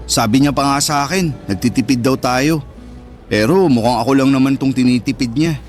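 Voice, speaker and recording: A man's voice: mid-range voice, moderate pace, natural voice. A man with a Filipino accent playing a sensitive, neglected older brother in an earnest, emotive radio drama style. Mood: hurt, resentful. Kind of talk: Tagalog radio drama acting. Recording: music under the voice.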